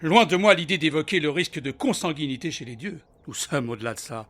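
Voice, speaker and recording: An actor playing Poseidon as a sarcastic, aggrieved sea god in a comic audio drama, mock-formal and needling. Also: male voice, a little high, fairly quick, fairly bright tone.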